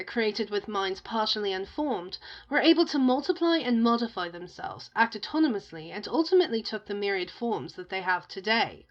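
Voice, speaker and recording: A female narrator tells a scripted legend in a highish voice. The sound is a little dull, with the top end rolled off. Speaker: narrator of a tale within the story.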